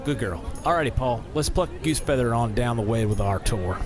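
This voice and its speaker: An actor playing a folksy, self-satisfied circus boss in an audio drama, jovial and patronizing in manner. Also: male voice, mid-range voice, expressive, music in the background, lightly acted. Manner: folksy, jovial, drawn-out showman phrasing.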